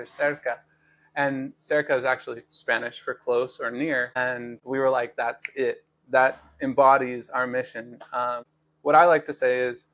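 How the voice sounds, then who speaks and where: Man, podcast host, earnest and thoughtful, mid-pitched, casual explainer. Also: a bit bright, low-quality recording. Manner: earnest, thoughtful, many fillers and restarts. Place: Los Angeles studio.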